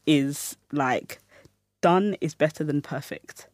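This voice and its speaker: A man's voice: a little high, slow pace, dry recording, clean studio sound. A man speaking as an interviewer in a casual, conversational way, reflective and supportive.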